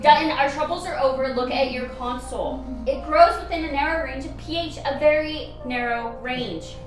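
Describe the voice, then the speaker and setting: Radio play doctor, played by a woman: high voice, commanding and impatient, recorded roomy and off-mic. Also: moderate pace, natural voice. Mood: excited, certain. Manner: insistent, curt, commanding. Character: driven, impatient woman doctor chasing a medical hunch. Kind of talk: amateur radio play acting. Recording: roomy, distant mic, music underneath.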